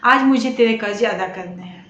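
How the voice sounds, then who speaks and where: A woman voicing a stubborn, insistent grown son who is quick to lose patience, in a storytelling. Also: on the high side, a bit bright, reverberant, dull audio, lightly acted. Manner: insistent, complaining.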